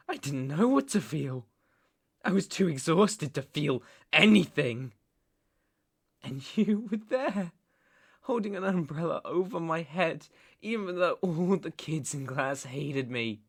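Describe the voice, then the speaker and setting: A man performs a young British crime lord in a dark ASMR roleplay, an obsessive jilted lover. His voice is breathy and husky with a gravelly creak. He speaks slowly and haltingly, with volatile menace. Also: on the high side, heavily acted. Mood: quiet grief. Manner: halting, menacing, volatile, swinging between hush and outbursts. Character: unstable young male mafia boss, obsessive jilted lover. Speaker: roleplay voice actor. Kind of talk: dramatic ASMR roleplay monologue.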